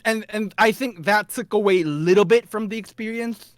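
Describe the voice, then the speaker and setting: A man whose first language is Korean, co-hosting a casual podcast chat, animated and often stumbling over his words. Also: sits high, moderate pace, no echo. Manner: animated, stammers and repeats words. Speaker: podcast co-host.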